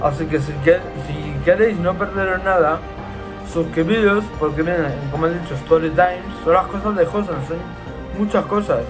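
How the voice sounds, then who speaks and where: A man's voice: on the high side, normal speed, over music, poor audio. A man, answering follower questions in a casual, repetitive vlog to a webcam in a small room. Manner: chatty and repetitive, gesturing a lot with his hands. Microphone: laptop webcam.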